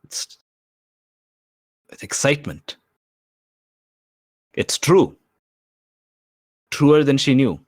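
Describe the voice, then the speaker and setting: A man playing an intense, feverishly excited prisoner in a tabletop game, speaking in urgent, slangy fragments. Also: mid-range voice, extremely slow, long pauses, no echo, voice pushed up, full character voice. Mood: feverish excitement.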